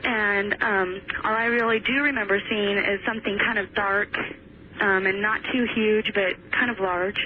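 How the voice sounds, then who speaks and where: A woman's voice: medium pitch, steady tone, normal speed. Tentative young woman on a tinny phone. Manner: tentative, hesitant. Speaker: caller to radio show. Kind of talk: casual recounting of a personal experience. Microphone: telephone. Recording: tinny phone line, faint noise.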